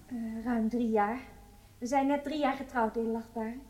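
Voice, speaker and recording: An actress in a radio drama playing a nervous, evasive wife on trial, speaking hesitantly and deferentially. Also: female voice, fairly high pitch, fairly even intonation, normal speed, darkish tone, lightly acted, low-quality recording. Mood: polite, composed.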